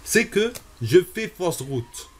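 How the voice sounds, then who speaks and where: Homme, 20s, from Algeria, unhurried testimony, hesitant, measured. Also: male voice, high voice, very animated, husky. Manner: slow and deliberate, with frequent hesitations. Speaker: convert giving a testimony. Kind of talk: personal religious testimony.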